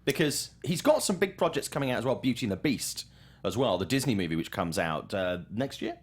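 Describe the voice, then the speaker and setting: A man's voice: mid-range voice, sing-song, fairly quick, slightly rough. A man hosting a movie news talk show, chatting casually in an informal, wry and blunt way. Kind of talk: casual panel chat.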